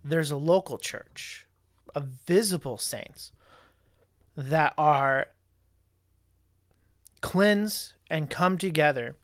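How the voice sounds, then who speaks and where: Slow, deliberate man explaining doctrine. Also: a little high, a bit bright, no echo, clean studio sound. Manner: deliberate, halting, with fillers and self-corrections. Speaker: co-host discussing a church confession. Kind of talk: Bible study discussion show.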